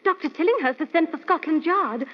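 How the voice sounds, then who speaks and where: On a dull old radio recording, a young lady's companion with a very high voice. She is earnest and chatty, with a genteel, fluttery manner suited to a vintage radio mystery. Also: expressive, brisk. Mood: distraught.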